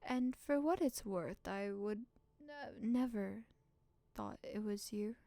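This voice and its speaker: A woman voicing a stern, commanding woman of the royal household in a visual novel, formal and measured. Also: medium pitch, dark tone, dry recording. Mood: measured, reassuring.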